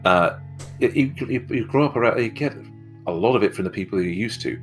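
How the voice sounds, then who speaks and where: A very deep-voiced man from England chats on a podcast, dropping wry, sarcastic remarks. Light music sits faintly under his voice. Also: animated, a little fast. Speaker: podcast co-host. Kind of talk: casual chat.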